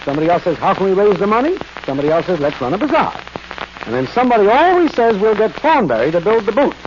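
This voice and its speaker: A man's voice: sits high, big pitch swings, fast talker. An American man acting a put-upon, sardonic neighbor in an old-time radio sitcom, wry and long-suffering, on a noisy, muffled recording. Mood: griping.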